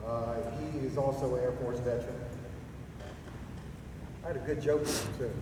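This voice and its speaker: A middle-aged man speaks at a ceremony, heartfelt and halting, a little hoarse as he loses his voice. He is far from the mic in a big echoing hall, with steady room noise behind him. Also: mid-range voice. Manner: heartfelt, halting, with fillers and throat-clearing. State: losing his voice. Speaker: retiring army staff sergeant giving a speech. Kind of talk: emotional retirement speech. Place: ceremony venue. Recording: echoey distant hall recording with noise.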